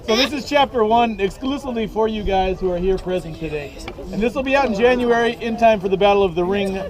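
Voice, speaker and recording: A man reading his own comic novel aloud with a dry, deadpan delivery, outdoors at an event. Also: high-pitched, quick pace, a crowd in the background. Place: outdoors at a medieval combat event.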